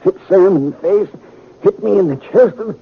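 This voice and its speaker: A man's voice: sits high, narrow, tinny audio, exaggerated voice. A gasping, wounded frontier man. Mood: bitter, anguished. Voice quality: breathy, air-filled, halting voice. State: badly wounded, breathing hard. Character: wounded frontier robbery victim, bewildered and aggrieved, gasping. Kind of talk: 1950s Western radio drama acting. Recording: thin, noisy 1950s radio recording.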